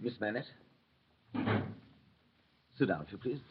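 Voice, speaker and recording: An actor playing a reserved, businesslike English banker and narrator in an old radio drama, with a measured, formal way of talking. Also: male voice, on the low side, lively intonation. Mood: formal, hesitant. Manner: measured, formal, hesitant at delicate news. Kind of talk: 1930s radio acting. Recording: dull, noisy old radio recording.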